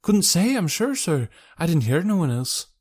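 A man's voice: on the high side, big pitch swings, normal speed, darkish tone, husky, pitched above the performer's own voice. A man voicing an anxious, talkative working-class English wife in an audiobook, folksy and deferential. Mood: chatty, worried. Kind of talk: audiobook character voice.

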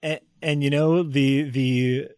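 Brief: male streamer, animated chatty co-host, dry close recording. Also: mid-range voice, takes it slowly, no room sound. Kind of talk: casual chat.

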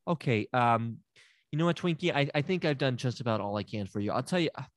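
A man's voice: medium pitch, quick pace, no room sound, close to an everyday voice. A man playing a stammering, bumbling therapist. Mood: rambling. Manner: stammering, rambling, then hushed guided-meditation voice. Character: bumbling, flustered male therapist. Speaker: therapist character. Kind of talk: improvised comedy podcast.

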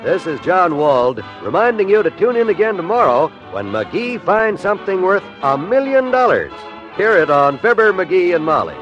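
A man's voice: high voice, sing-song, moderate pace, few pauses, a bit bright, faint music behind. A man working as a radio announcer, reading scripted promotion in a polished, emphatic 1950s style. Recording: dull vintage radio recording.

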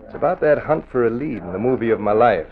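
A man's voice: mid-range voice, animated, fast, natural voice. A man playing a cocky, scheming gangster with a tough, confident way of talking in an old-time radio drama. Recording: dull old radio recording.

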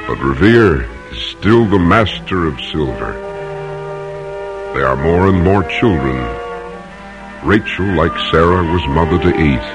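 An actor as a solemn, measured storyteller of American history in dramatic old-time radio narration. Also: male voice, sits low, animated. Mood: stately, dramatic. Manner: measured, solemn, poetic phrasing. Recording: vintage radio recording. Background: music.

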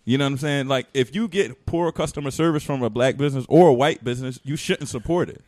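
Guy on a podcast talking fast, slangy, pushy, dry sound no room. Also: medium pitch, darkish tone, no room sound. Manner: insistent, slangy. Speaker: podcast co-host. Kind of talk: casual group debate.

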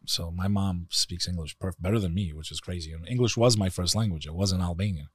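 A man with a very deep voice and a New York accent, speaking as a podcast guest in passionate, emphatic conversation. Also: fairly quick, slightly rough, no echo, studio quality. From United States.

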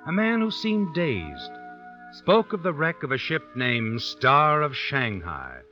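An actor playing an ominous, knowing storyteller, narrating an old-time radio suspense drama in an insinuating, dramatic way. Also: male voice, on the high side, wildly swooping pitch, slow, full character voice. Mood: suspenseful, insinuating. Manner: insinuating, dramatic, second-person address. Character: ominous, knowing male storyteller needling the heroine. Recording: dull vintage radio recording with music.

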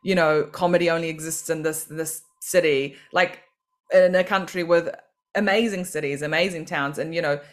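A woman's voice: deep voice, fairly even intonation, moderate pace. A woman from New Zealand, a comedian and podcast guest, talking in a chatty, self-deprecating way and laughing often. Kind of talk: casual podcast interview.

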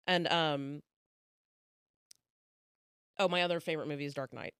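A woman's voice: low-pitched, moderate pace, fairly bright tone, smooth, dry recording, clean studio sound. Rambling, chatty woman comedian. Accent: Oklahoma. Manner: chatty, rambling with many fillers; candid and self-deprecating. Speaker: comedian guest. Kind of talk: casual podcast chat.

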